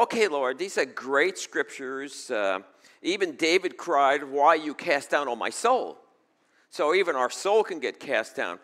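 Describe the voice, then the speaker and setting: A middle-aged man from New York with a New York accent, preaching a sermon at a church pulpit and moving between fervent and conversational delivery. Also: fairly high pitch. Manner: rises to loud fervent preaching then drops to easy chat. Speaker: pastor. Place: church stage before a seated congregation. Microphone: stage mic at a pulpit. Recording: long hall reverb.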